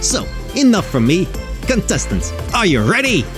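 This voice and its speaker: Man acting a slick, animated game show host. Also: sits high, moderate pace. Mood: showy, mocking. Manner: showy, mock-sympathetic. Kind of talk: audio drama game show hosting. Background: music.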